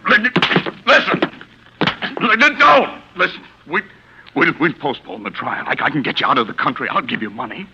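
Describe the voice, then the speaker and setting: Condescending lawyer, very bright delivery, widely varied pitch, slick, 1940s radio acting, dull old recording. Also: male voice, animated, moderate pace, heavily acted. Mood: panicked, pleading. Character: slick, condescending defense lawyer hiding his own guilt. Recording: muffled, faintly noisy vintage radio recording.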